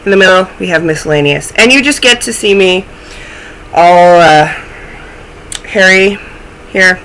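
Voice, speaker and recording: A woman's voice: on the low side, measured pace, fairly bright tone, slight background noise, poor audio. A young woman hosting a knitting podcast from home, chatting casually to a webcam with a stuffy, snuffly voice and self-deprecating asides. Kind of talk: casual chat to camera. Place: small room at home, indoors.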